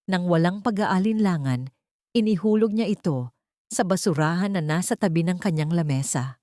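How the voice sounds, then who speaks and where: A woman narrating a dramatic audiobook story in a steady, dramatic manner. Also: lowish voice, dry recording, studio quality.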